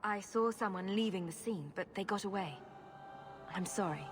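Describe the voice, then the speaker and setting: An actress playing a composed adventurer heroine, direct and dry, in a fantasy video game. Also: female voice, medium pitch, normal speed, music in the background, close to an everyday voice. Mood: matter-of-fact.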